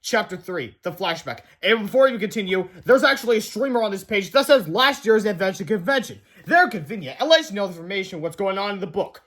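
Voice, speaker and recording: Male children's book reader, notably high-pitched, expressive storyteller with emphatic delivery. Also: fast, few pauses. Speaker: read-aloud reader. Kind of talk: children's book read-aloud narration.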